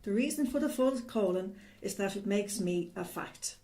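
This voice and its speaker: A woman's voice: mid-range voice, lively intonation, normal speed, dark tone. A woman from Ireland with an Irish accent, reading a formal, declarative statement as a guest. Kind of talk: prepared statement.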